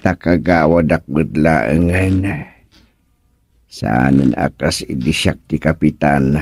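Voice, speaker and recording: An actor playing an easygoing but grumbling village man in a radio comedy drama, with an Ilocano accent and drawn-out exclamations. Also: rumbling low, darkish tone, dry recording, full character voice. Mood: grumbling, musing.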